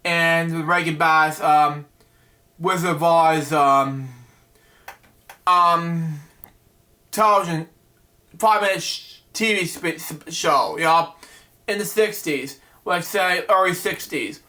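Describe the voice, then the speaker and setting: A man reads a children's picture book aloud at a slow, unhurried pace. His voice sits a little high and sounds bright and forward. He often stumbles, restarts and breaks off into chatty asides to the listener. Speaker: storyteller reading a picture book. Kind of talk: reading a bedtime story aloud with rambling asides.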